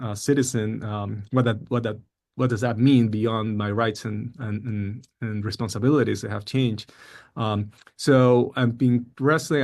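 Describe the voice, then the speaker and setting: A middle-aged man from Peru giving an academic presentation on his research project, halting often with fillers and repeated words. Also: mid-range voice, creaky, darkish tone.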